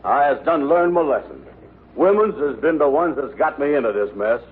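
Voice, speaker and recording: A man's voice: medium pitch, sing-song, normal speed, natural voice. Man playing blustering sitcom schemer on tinny radio. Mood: exhausted, relieved. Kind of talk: 1950s radio sitcom acting. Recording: tinny, noisy old radio broadcast.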